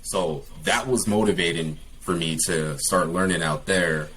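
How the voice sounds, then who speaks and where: Young adult male guest, very deep voice, hesitant conversational delivery, faint room noise. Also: a little slow, a bit bright. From California. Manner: hesitant, many fillers and restarts. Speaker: guest, English teacher. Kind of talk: casual interview talk.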